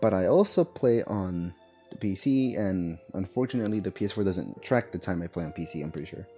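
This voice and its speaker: A man's voice: low voice, animated, a little fast, warm tone, faint music behind. A man casually chatting while streaming a game, rambling with lots of fillers and self-corrections. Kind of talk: casual gaming stream chat. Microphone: laptop streaming setup.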